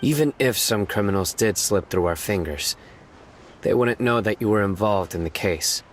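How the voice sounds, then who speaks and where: An actor voicing a stern, reserved young lawman in a video game, speaking in a solemn, measured way. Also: on the low side, animated, a little hiss or hum, lightly acted. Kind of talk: scripted video game character dialogue. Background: music.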